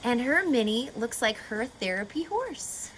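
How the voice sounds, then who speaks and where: A woman reading a picture book aloud to children like a teacher, expressively, pausing to explain and ask questions. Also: on the high side, slight background noise, low-quality recording. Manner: expressive, instructive, asking questions of young listeners.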